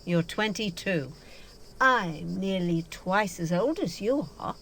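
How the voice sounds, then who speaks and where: A woman acts a proud middle-aged actress in a radio play. She speaks slowly and grandly, with a lively swoop in pitch and great self-satisfaction. Faint outdoor ambience sits behind her. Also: creaky, a little hiss or hum, exaggerated voice. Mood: boastful. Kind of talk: audio drama acting.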